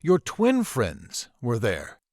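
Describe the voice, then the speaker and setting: A man playing a courteous, wry, quietly mysterious college professor in a visual novel, speaking in a theatrical, measured way. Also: mid-range voice, huge pitch swings, no echo, clean studio sound. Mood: concerned. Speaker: professor character.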